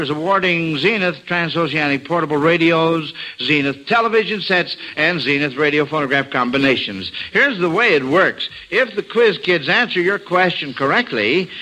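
A man's voice: on the high side, bright, forceful tone. An American man hosting a mid-century radio quiz show and reading sponsor messages in a folksy, chatty way with hesitant asides. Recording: noisy vintage radio recording.

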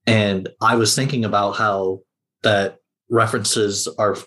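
A man's voice: fairly low pitch, steady tone, a little slow, bright, forceful tone. A man talking casually as a panelist on a podcast about a TV series.

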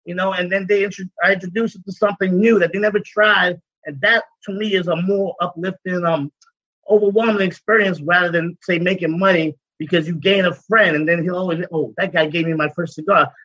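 Bright-voiced man, chatty and relaxed. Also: high voice, brisk, dry recording, muffled recording. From Guyana. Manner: relaxed, repetitive, rambling with many "you know"s. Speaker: cigar business owner, guest. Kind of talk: casual interview talk.

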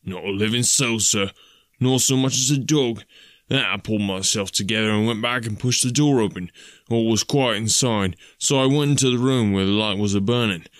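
A rustic police constable played by a male narrator from a detective storybook, simple and wary. He grumbles along in homely, uneducated phrasing, raised above the reader's usual pitch, in a close, dry recording. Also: moderate pace, dry recording, close to an everyday voice. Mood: earnest, recounting, then recounting. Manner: uneducated dialect phrasing, aggrieved.

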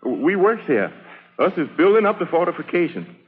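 An actor playing a kindly, wary laborer with a Southern US accent, plain and cautioning in an old radio drama. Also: male voice, high voice, fairly quick, breathy edge, soft background music, full character voice. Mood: meekly deferential. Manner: plain folksy speech, steady and cautioning. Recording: narrow, noisy vintage radio recording.

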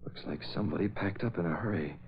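A muffled, hissy vintage radio recording of a shrewd masked hero. His voice is deep and deliberate, with a commanding, old-time serial delivery. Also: gravelly. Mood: hushed, musing. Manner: deliberate, thinking aloud, commanding. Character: resolute, shrewd masked crime-fighter hero. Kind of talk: 1940s radio acting.

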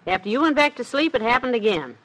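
An actress playing a sensible, concerned and gently chiding wife in an old-time radio comedy. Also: female voice, on the high side, animated, fast talker, poor audio. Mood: worried.

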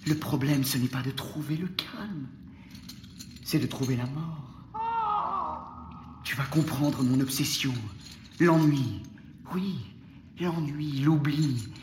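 An actor playing a taunting, death-obsessed prisoner of war in a Roman dungeon, insidious and insistent, in an audio drama. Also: male voice, a little high, reverberant, background noise. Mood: obsessive, insistent. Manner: insidious, insistent, halting with repeated phrases. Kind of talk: audio fiction acting.

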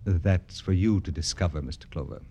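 An actor in an old-time radio drama playing an arrogant, jaded, wealthy satirist who talks in florid, affected, theatrical phrases. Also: male voice, sits low, normal speed, mellow, a little hiss or hum, close to an everyday voice. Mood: gloating, taunting.

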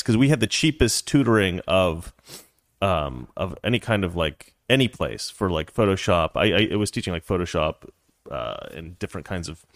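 A male host talks in a fairly low voice, wandering off on tangents and throwing in jokes as he gives his opinions. The sound is close and dry, with no room around it. He sounds loose and unpolished, like an unscripted show. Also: no echo. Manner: rambling, opinionated, joking. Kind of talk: casual political commentary.

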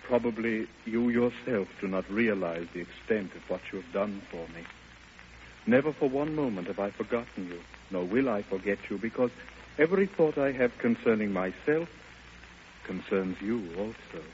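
Vintage radio play: devoted gentleman, lowish voice, formal and earnest, muffled hissy recording. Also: very animated, moderate pace. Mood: tender devotion. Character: devoted male friend voicing a tender letter. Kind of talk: classic radio drama, reading a letter.

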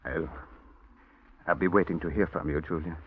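A man's voice: extremely low pitch, steady tone, moderate pace, husky, heavily acted. A man playing a timid, devoted singing teacher and secret lover in a 1940s radio drama, speaking tenderly and often stammering. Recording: dull old radio broadcast recording.

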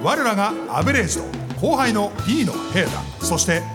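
A man playing an eager, pushy department boss and narrator in a live radio drama under loud music. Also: sits high, sing-song, moderate pace. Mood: theatrical.

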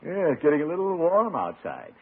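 An actor playing a pompous but soft-hearted middle-aged uncle, theatrical and chuckling, in 1940s radio comedy style on an old broadcast. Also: male voice, on the high side, huge pitch swings, a little fast, phone-quality sound, slight background noise. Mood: jovial.

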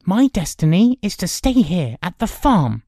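A woman's voice: medium pitch, big pitch swings, normal speed, mellow, no room sound, studio quality. A woman voicing a modest, self-doubting boy in a storybook drama, earnest and hesitant. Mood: firm, uneasy.

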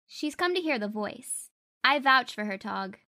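A woman acts out a loyal, defiant young woman in an audiobook drama. The voice is fairly high, bright and forceful, with firm, insistent delivery that swings expressively. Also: expressive, unhurried, dry recording, clean studio sound, full character voice.

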